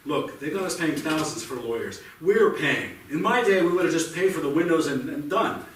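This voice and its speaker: A man's voice: fairly high pitch, expressive, normal speed, natural voice. Man acting a combative dad, echoey and distant. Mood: insistent. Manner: insistent, argumentative, stumbling over restarts. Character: defensive, combative father shielding his teenage son. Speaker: stage actor in a staged reading. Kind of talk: live staged play reading, naturalistic. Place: indoor theatre stage, recorded from a distance. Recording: echoey, distant theatre recording with faint noise.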